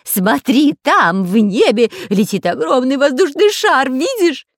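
Woman as long-nosed bandit spy, whiny, boastful, excitable, swooping pitch, storybook villain. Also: moderate pace, dry recording, studio quality. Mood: excited. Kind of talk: children's storybook character acting.

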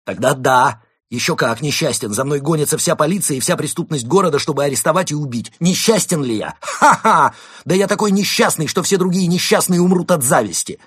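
A man voicing a desperate, loud-mouthed fugitive in a storybook, excitable and mocking as he babbles and shouts. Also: mid-range voice, fast talker, few pauses, breathy edge, no echo, studio quality, pitched above the performer's own voice. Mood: pleading, frantic.

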